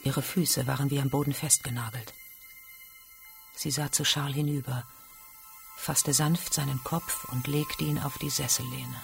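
A man's voice: medium pitch, flat delivery, measured pace, creaky, faint music behind. A man reading scripted literary narration in a radio drama.

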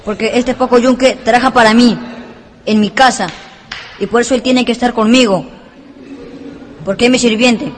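A spoiled, high-pitched schoolboy bully. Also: male voice, fairly even intonation, normal speed, pauses often, a little hiss or hum. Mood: defiant entitlement. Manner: imperious, insolent. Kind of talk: radio drama acting.

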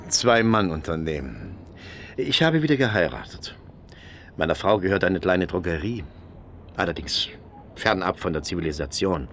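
A man's voice: deep voice, very animated, normal speed, a bit bright, a little hiss or hum, exaggerated voice. A man acting a reserved, polite small-town shopkeeper in a German radio play, hesitant and understated. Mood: reserved, matter-of-fact. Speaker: radio drama actor.

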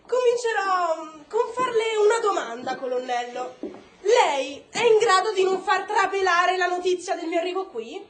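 Woman acting imperious, very high-pitched government secretary. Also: moderate pace. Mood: stern, cutting. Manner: formal, imperious, cutting. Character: icy, commanding American government secretary, woman, rebuking an officer. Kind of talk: stage-style radio drama acting. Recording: roomy echo, faint noise.

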